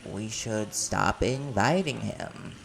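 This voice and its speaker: A man's voice: on the low side, slow, vocal fry, low-quality recording. An actor playing a playful, caring man in a chatty, teasing audio roleplay conversation. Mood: casual, doubtful. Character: silly, playful, caring man at a community party.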